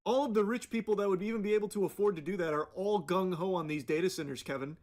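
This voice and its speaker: Male commentator, fairly high pitch, casual and opinionated, sarcastic, scornful delivery. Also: quick pace, a little mellow.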